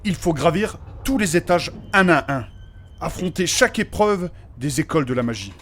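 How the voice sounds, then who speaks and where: A man playing a formal, pedantic gentleman mage in a comic fantasy audio drama, speaking in precise, old-fashioned phrases. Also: on the high side, animated, moderate pace, slight background noise. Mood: solemn, explanatory. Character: formal, learned, fussy gentleman mage companion.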